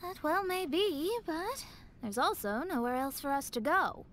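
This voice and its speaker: Casting: thoughtful young stargazer for a video game, high-pitched, articulate, studious and polite. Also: female voice, animated, measured pace, heavy background noise.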